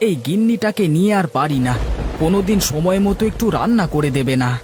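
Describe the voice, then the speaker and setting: A man's voice: sits high, expressive, quick pace, noisy background. A man voicing a grumbling, food-loving village householder in a Bengali cartoon. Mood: plaintive grumbling.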